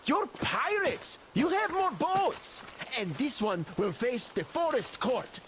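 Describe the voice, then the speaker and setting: A man's voice: extremely high pitch, sing-song, takes it slowly, noisy background, exaggerated voice. An actor playing a cocky young male forest camp member who argues and mocks. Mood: mocking. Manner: mocking, argumentative.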